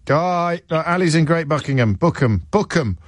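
A man's voice: a little high, animated, moderate pace, no echo, studio quality. A man hosting a radio phone-in, chatty and jokey, often restarting and trailing off. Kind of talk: casual radio phone-in chat. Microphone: studio mic.

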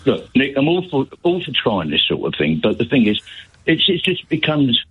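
An older man in his seventies calls in over the phone, his voice rough and husky. He talks bluntly, his pitch rising and falling a lot, and he circles back over his words as he pushes his view. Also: normal speed, phone-quality sound. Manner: blunt, animated, repeats himself. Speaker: caller. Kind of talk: phone-in radio call. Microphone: telephone.

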